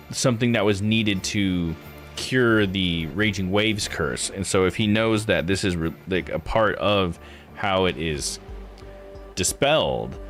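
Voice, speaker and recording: Low-voiced man rambling through game commentary. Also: a bit bright. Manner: rambling, thinking aloud. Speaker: game streamer. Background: music.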